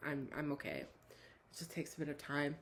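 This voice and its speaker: A hoarse woman giving a chatty, rambling book review full of fillers and restarts. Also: deep voice, sing-song, moderate pace, a little hiss or hum. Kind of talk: casual book review chat.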